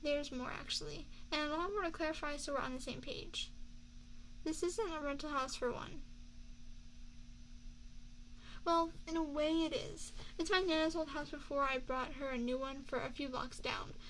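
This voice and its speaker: Girl voice actor doing a mafia boss girlfriend asmr thing, high soft voice, affectionate n measured, close mic w some background ambience. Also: steady tone, a little hiss or hum, rough recording. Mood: earnest, reassuring. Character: confiding young woman mafia boss, affectionate long-distance girlfriend. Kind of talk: ASMR girlfriend roleplay, scripted intimate monologue. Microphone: close binaural microphone.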